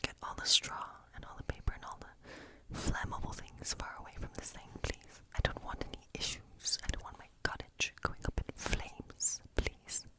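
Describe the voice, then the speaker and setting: A woman's voice: moderate pace, hardly any pauses, natural voice, poor audio. Woman playing a playful whispering witch. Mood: gently bossy. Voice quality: breathy, unvoiced whisper. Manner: intimate, chatty, teasing, repeats words softly. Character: playful, boastful woodland witch hosting a guest. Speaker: ASMR performer. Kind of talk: whispered ASMR fantasy role play.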